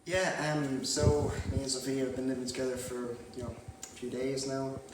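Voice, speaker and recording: A man playing a weary, sarcastic housemate giving a hesitant, deadpan interview in a mock reality show sketch. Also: mid-range voice, normal speed, natural voice. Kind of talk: comedy mockumentary sketch. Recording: very echoey, distant, noisy room.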